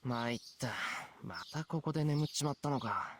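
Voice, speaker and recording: Teenage schoolboy played by a male actor, visual novel role. Mid pitch, casual muttering, faint birdsong behind. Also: quick pace, slight background noise, close to an everyday voice. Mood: sheepish. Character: casual, mildly flustered teenage schoolboy thinking aloud. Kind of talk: Japanese visual novel voice acting.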